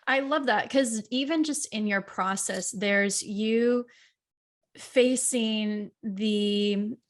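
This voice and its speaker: Woman podcast host; mid pitch; warm affirmations, reflects the guest's words back. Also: extremely slow. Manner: affirming, supportive interviewer. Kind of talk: casual podcast conversation.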